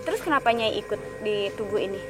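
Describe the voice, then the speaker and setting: Coaxing woman host over loud music. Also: medium pitch, expressive, quick pace. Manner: coaxing, questions the spirit gently with fillers. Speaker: host of paranormal channel. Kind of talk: on-location paranormal reporting. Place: outdoor field. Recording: loud music behind, outdoor field.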